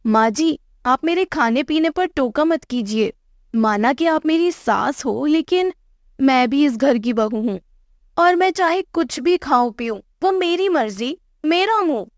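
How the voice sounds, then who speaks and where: A woman plays a young bride from a poor village, brazen and greedy for food. The voice is fairly high and dry, with no room around it. She talks back with cheek and defiance, in cartoon storytelling style. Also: animated, measured pace, no room sound. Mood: defiant. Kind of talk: Hindi moral-story cartoon dialogue.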